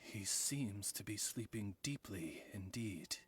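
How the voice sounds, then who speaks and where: A man's voice: fairly low pitch, expressive, takes it slowly, slight background noise. A man voicing a dignified, eloquent familiar who serves a princess with formal, deferential speech in a video game. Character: courtly male familiar, dignified servant of a princess.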